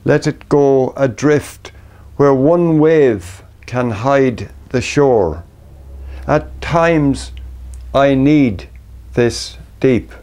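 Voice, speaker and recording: An Irish man reading his own poetry in a measured, deliberate way, pausing between short phrases. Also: mid-range voice, darkish tone. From Ireland. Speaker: poet reading own work.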